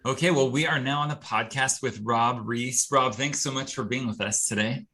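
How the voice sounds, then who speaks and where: A man's voice: medium pitch, moderate pace, over music. A man hosting a podcast, welcoming his guest and asking questions in a conversational way. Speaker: podcast host. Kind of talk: casual interview.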